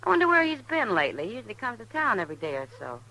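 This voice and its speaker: Casting: sociable Old West saloon gal, animated and bright, frank, saucy, radio drama delivery. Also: female voice, on the high side, very fast, full character voice, rough recording. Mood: relaxed, chatty. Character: worldly, sociable frontier saloon woman, plain-spoken. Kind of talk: old-time radio Western acting.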